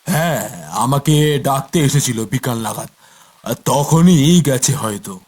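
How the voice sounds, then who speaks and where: Man acting husky grumbling villager. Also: medium pitch, expressive, measured pace, a little mellow. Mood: grumbling, defensive. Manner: complaining, suspicious. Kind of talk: cartoon character acting.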